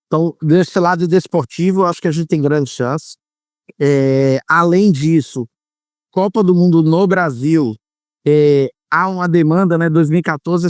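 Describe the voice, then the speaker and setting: A man from Bahia speaks as an interview guest, explaining at length and pausing often to find his words. His voice is smooth and clear and sits slightly high. The sound is dry and close. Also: no echo. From Salvador, Bahia, Brazil. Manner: measured, explanatory, many hesitations. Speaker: former marketing executive, guest. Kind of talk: interview answers.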